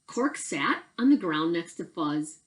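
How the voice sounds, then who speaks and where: A woman reading a children's storybook aloud as a teacher, with deliberate, emphatic phrasing and expressive narration. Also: on the low side.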